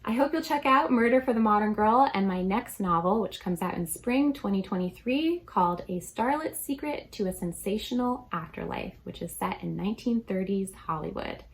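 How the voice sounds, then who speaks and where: A woman's voice: medium pitch, expressive, moderate pace, hardly any pauses, low-quality recording. Friendly woman author, faint room noise. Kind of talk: casual video message.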